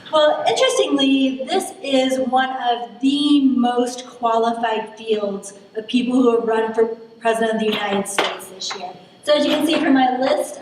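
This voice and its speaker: Young female lecturer, soft voice, chatty and wry, heavy hall echo. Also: on the high side, a little hiss or hum. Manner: chatty, self-deprecating, addresses audience directly. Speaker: political science lecturer. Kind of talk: public lecture. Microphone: distant mic at a lectern. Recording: echoey hall from far off.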